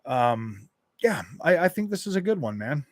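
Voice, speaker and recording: A man's voice: on the high side, very animated, normal speed, dry recording, clean studio sound. A man chatting casually on a gaming live stream, answering his chat in a loose, rambling way that circles back and repeats phrases.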